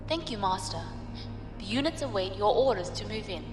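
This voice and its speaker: A woman's voice: medium pitch, expressive, moderate pace, music in the background, close to an everyday voice. An actress playing an obedient female gunner who speaks formally and deferentially to her villain master, with echoey reverb. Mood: submissive. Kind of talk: audio drama acting. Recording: echoey, long reverb, distant.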